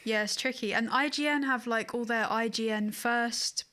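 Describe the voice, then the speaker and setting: A woman's voice: a little high, normal speed, pushed and bright. A woman co-hosting a podcast, chatting casually about video games. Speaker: podcast co-host.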